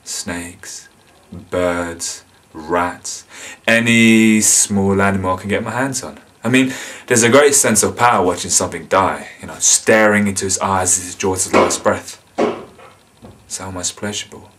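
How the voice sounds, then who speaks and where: An actor playing an insane, menacing killer and resentful loner, confessing in a volatile dramatic monologue. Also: male voice, low-pitched, a little slow. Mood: savoring, cruel. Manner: confessional, volatile, swinging from hushed to explosive.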